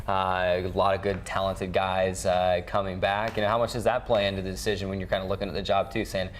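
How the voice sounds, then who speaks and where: A man's voice: deep voice, flat delivery, a little fast, slight background noise. A man hosting a sports show, recapping results and interviewing guests in a studio. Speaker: sports show host and play-by-play announcer.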